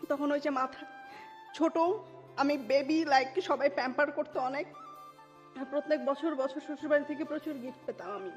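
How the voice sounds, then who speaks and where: A woman with a Bangladeshi accent recounts her own life as an interview guest. Her voice sits fairly high and she slips English words into her sentences. The recording is a bit muffled, with music clearly playing underneath. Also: normal speed. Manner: mixes English words and phrases into talk. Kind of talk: personal storytelling in an interview.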